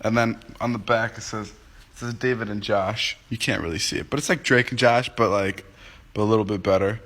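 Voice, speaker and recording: A man's voice: on the low side, steady tone, a little fast, a bit bright. A young man in his twenties, just woken up and groggy, chatting casually on a phone livestream from home, rambling and joking as he answers comments. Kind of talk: casual livestream chat to viewers. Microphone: phone livestream app.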